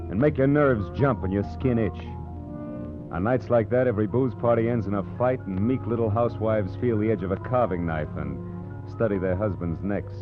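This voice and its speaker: A man's voice: lowish voice, close to an everyday voice. An American man playing a wry, hard-boiled private detective in a 1940s radio drama, sardonic and clipped. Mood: brooding, wry. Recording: dull vintage radio recording, music behind.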